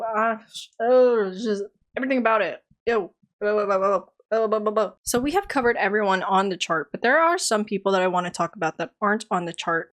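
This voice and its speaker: A young woman giving an animated, exasperated review of a TV series in a casual vlog, her voice tiring. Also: medium pitch. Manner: animated, exasperated, dramatic outbursts. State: voice getting tired.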